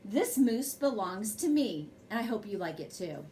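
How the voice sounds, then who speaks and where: A woman reading a children's picture book aloud as a bedtime story, in an expressive, measured way. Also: mid-range voice, slight background noise.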